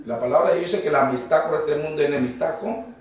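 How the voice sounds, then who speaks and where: A man's voice: a little high, fast, recorded from a distance. A man from Colombia preaching a fervent, emphatic sermon to a congregation that answers back, caught by a room microphone in a church hall. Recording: very echoey hall, voice from afar.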